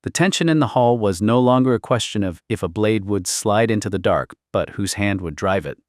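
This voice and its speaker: A man reading dramatic historical fiction as an audiobook narrator, in a solemn, measured and portentous delivery. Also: lowish voice, animated, no echo, clean studio sound.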